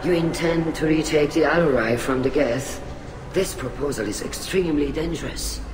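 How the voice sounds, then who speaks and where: A man's voice: on the high side, natural voice. A man voicing a formal presiding alien admiral. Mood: measured. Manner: formal, measured. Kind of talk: video game cutscene dialogue. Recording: echoey game mix with background noise.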